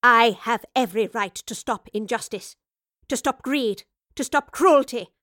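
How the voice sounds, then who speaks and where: A principled, fiery female professor from a storybook, acted by a woman narrator. She speaks in a fairly high, dry-recorded voice, articulate and emphatic. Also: lively intonation, fairly quick, bright, forceful tone, no echo. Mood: indignant. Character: principled, teasing female professor, fierce when angered.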